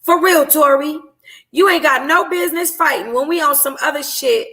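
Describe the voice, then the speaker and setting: A woman's voice: sits high, normal speed, fairly bright tone, pitched above the performer's own voice. A woman voicing a sassy, blunt young female friend in a novel, with slangy, emphatic delivery. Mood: blunt, teasing.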